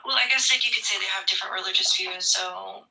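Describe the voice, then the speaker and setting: A woman's voice: medium pitch, fast talker, gravelly, pushed and bright, recorded from a distance, a little hiss or hum, low-quality recording. A woman in a group debate, arguing her point insistently and hedging with fillers when pressed. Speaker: debate participant. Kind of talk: argumentative discussion.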